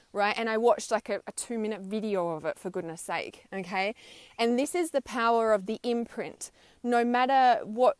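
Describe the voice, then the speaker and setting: Chatty woman in her thirties, crisp voice. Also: a little high, no room sound. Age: 30s. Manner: chatty, emphatic, frequent "right?" and "okay?" tags, laughs often. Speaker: spiritual vlogger. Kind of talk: casual livestream monologue. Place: outdoors, wind audible.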